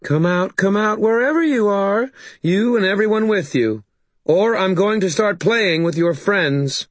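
A man's voice: high-pitched, a little slow, dry recording, voice pushed up. A man voicing a taunting, villainous fairy lady in an audiobook, with a mocking, sing-song manner. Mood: mocking menace.

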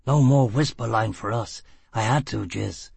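A man voicing a gruff, blunt, stubborn escaped prisoner in a storybook reading, with terse, grunted lines. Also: medium pitch, a little slow, a little mellow, dry recording, exaggerated voice.

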